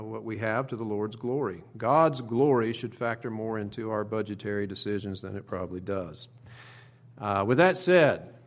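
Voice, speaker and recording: A middle-aged man with an Oklahoma accent preaching a sermon as a pastor, in a folksy, conversational way with emphatic rhetorical questions. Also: mid-range voice. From Oklahoma, United States. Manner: folksy, plain-spoken, conversational. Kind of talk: sermon to own congregation.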